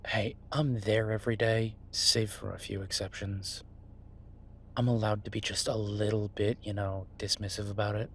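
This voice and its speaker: A man's voice: lowish voice, flat delivery, normal speed, fairly bright tone, a little hiss or hum. A man acting as an easygoing chef talking to a partner in a naturalistic audio roleplay, conversational, hesitant and wry. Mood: wry, amused. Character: Beau, easygoing male chef, reassuring his werewolf partner. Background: ambient sound effects.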